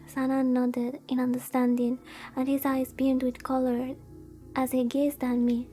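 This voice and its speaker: A woman's voice: sits high, completely flat delivery, unhurried, mellow, faint music behind. A woman VTuber livestreaming a visual novel, chatting casually, rambling and reacting aloud as she reads along. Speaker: VTuber streamer.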